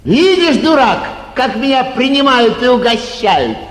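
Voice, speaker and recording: Flighty, self-satisfied young gentleman; high, swooping delivery, stage comedy in a reverberant hall. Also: big pitch swings, measured pace, full character voice. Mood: gleeful, boastful. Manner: breezy, careless, self-satisfied. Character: boastful, flighty young gentleman mistaken for an official. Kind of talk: theatrical 1940s stage comedy acting. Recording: echoey 1949 theatre radio production.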